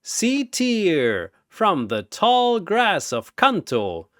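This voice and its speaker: A man voicing a grandiose ancient arena master who makes drawn-out showman's proclamations in a fantasy battle story. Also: high-pitched, huge pitch swings, a bit bright, no echo, clean studio sound, voice pushed up, exaggerated voice. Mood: booming announcement.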